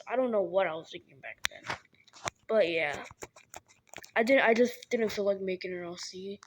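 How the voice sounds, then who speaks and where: A young woman who speaks haltingly, often repeating and restarting her words, as she talks casually to her viewers. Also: fairly high pitch, a little mellow, slight background noise. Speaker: animation creator. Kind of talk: casual vlog appeal.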